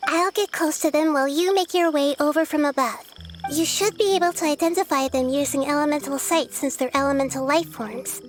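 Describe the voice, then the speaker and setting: A woman voicing a small, wise girl goddess who speaks softly and thoughtfully, in game audio with music behind. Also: high voice, brisk, background noise, natural voice. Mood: calm. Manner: soft, thoughtful, gently earnest.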